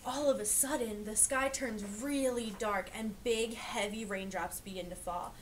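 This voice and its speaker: Woman, slightly high voice, children's read-aloud, explanatory teaching manner, faint background noise. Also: fairly even intonation, a little slow. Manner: explanatory, asking and pointing things out to listeners. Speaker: reader teaching young children.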